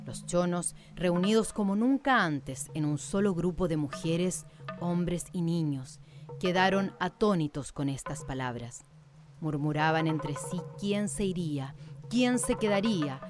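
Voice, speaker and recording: Low-voiced woman solemnly narrating a radio drama. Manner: solemn, storytelling.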